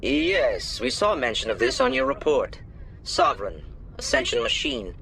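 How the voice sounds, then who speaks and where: A man playing a cautious alien councilor in a video game, speaking in a formal, measured and skeptical manner. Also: medium pitch, big pitch swings, bright, forceful tone, a little hiss or hum, rough recording. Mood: doubtful. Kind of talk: video game character acting.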